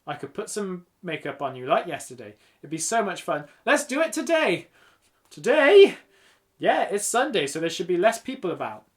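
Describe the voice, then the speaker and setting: Man playing an eager, high-pitched schoolgirl. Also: animated, a little fast, few pauses, close to an everyday voice. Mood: excited, persuasive. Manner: eager, gushing, teasing. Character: excitable, teasing, encouraging schoolgirl. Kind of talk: children's audiobook character reading.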